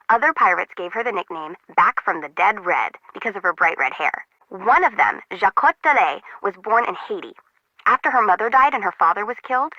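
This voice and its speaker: Bubbly, bright young harbor worker. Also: female voice, mid-range voice, no room sound, highs cut off. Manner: bubbly, slangy, wry. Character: chatty, disorganized, excitable young female harbor worker reciting ship trivia. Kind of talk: video game voice acting.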